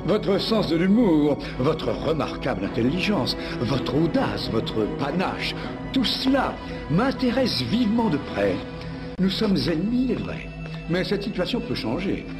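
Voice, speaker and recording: Suave cartoon villain, wildly swooping delivery. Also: male voice, fairly high pitch, big pitch swings, measured pace. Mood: gloating admiration. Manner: pompous, mock-courteous, florid. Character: scheming villain, suave, theatrical, gloating male tyrant. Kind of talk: dubbed cartoon villain acting. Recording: music under the voice.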